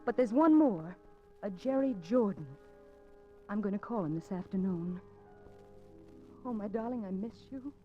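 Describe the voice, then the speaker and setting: A woman's voice: medium pitch, expressive, unhurried, long pauses, soft background music, lightly acted. An actress playing an anxious, determined woman undercover in a 1950s radio suspense drama, with coy, hesitant delivery. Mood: wistful, uneasy. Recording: dull 1950s radio recording.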